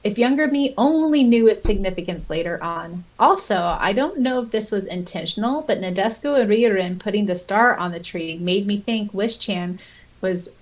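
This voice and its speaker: A woman's voice: mid-range voice, moderate pace, low-quality recording. A woman co-hosting a podcast in a casual fan chat, sometimes reading comments aloud. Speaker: podcast host.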